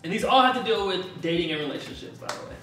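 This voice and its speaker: A man in his thirties hosting a casual group talk in a living room on a lapel mic, upbeat and quick to laugh. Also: high-pitched, expressive, pushed and bright, far from the mic. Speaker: host. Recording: roomy, from off, music behind.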